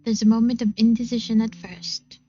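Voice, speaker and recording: A woman reading a visual novel aloud in an expressive, unhurried first-person narration. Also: a little high, lo-fi sound. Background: game music.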